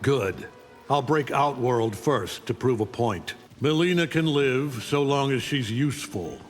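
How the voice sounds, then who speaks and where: A man's voice: mid-range voice, unhurried, big-room sound, faint music behind, natural voice. A man voicing an arrogant, menacing alien conqueror in a video game, with a contemptuous, commanding manner. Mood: cold menace. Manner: contemptuous, taunting, coldly commanding. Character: arrogant, menacing alien conqueror, a middle-aged superhuman father. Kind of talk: video game voice acting, fight intro taunts.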